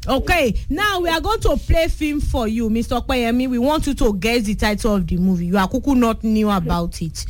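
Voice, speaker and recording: A woman's voice: a little high, expressive, a little fast, hardly any pauses. A Nigerian woman hosting a radio phone-in game, teasing her callers playfully. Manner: teasing, playful, mixes English and Yoruba. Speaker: radio call-in host.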